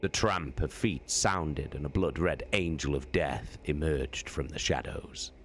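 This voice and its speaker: A man streamer giving a slow, deliberate dramatic reading of a novel. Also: extremely low pitch, slight background noise. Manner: slow, deliberate, drawn-out phrasing. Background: faint background music.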